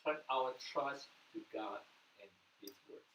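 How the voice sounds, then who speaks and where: Man, earnest sermon, loud bursts and soft drops, roomy echo, faint noise. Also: medium pitch, a little slow. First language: Mandarin. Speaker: pastor. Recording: echoey room, mic at a distance, faint noise.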